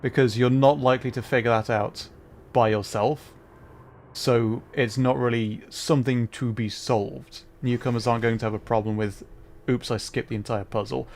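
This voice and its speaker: A man hosting a livestream, giving casual, halting gameplay commentary as he thinks aloud. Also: medium pitch, steady tone, a little mellow, a little hiss or hum. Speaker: livestream host playing a video game.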